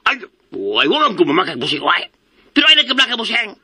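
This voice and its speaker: A man's voice: high voice, huge pitch swings, fairly quick, noisy background. A man acting a cheeky, wheedling underling in a comedic radio drama, hesitant and drawing out his pleas.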